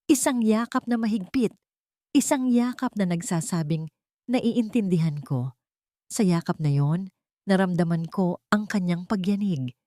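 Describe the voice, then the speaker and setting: A woman with a Filipino accent narrates a scripted first-person story in a measured, dramatic and sentimental manner. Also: on the low side, darkish tone, no room sound, clean studio sound.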